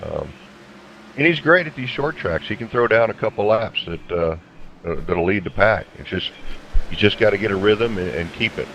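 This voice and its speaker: A man's voice: fairly low pitch, animated, normal speed, a bit bright, poor audio. A man working as a race color commentator, explaining things in a conversational way during live coverage. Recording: clear droning engine noise behind.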